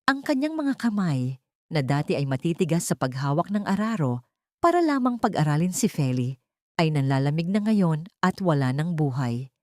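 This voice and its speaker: A woman's voice: low-pitched, sing-song, moderate pace, a little mellow, no echo, clean studio sound. A woman narrating a scripted dramatic story in a solemn, emotive manner.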